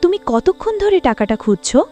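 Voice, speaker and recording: A woman's voice: very high voice, big pitch swings, fairly quick, mellow, lo-fi sound, faint music behind. A woman playing a practical, caring village wife and mother in a cartoon, chiding yet consoling. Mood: worried. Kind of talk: cartoon dialogue and story narration.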